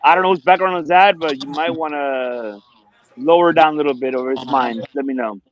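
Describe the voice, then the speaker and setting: A man's voice: fairly high pitch, fairly quick. A man in his forties hosting a casual live stream, speaking earnestly and effusively and often restating himself. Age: 40s. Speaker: stream host.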